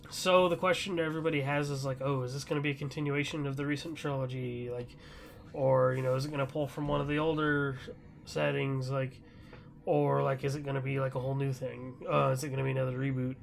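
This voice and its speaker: A man's voice: medium pitch, little pitch movement, quick pace, vocal fry. A man hosting a podcast, chatting casually about gaming news in a rambling, loosely conversational way. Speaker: podcast host.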